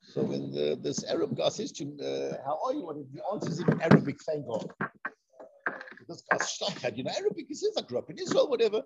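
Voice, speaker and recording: A man teaching an informal Torah discussion, excitable, repeating himself and mixing in Hebrew and Yiddish terms, on a dull lo-fi recording. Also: on the high side, a little fast, gravelly, over a noisy crowd.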